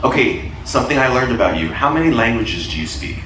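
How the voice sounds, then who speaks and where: A man's voice: mid-range voice, big pitch swings, fast, fairly bright tone, distant mic, slight background noise. Welcoming male host in a roomy venue. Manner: upbeat, welcoming emcee. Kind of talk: onstage conversation. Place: venue on a cruise ship.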